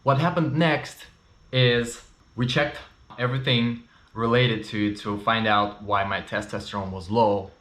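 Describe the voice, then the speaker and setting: Vlogger, thirties man, Russian-Ukrainian accent, lowish voice, candid and chatty, echoey room. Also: fairly even intonation, unhurried, fairly bright tone. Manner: candid, chatty, frequent fillers. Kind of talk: casual personal story to camera. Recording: roomy echo.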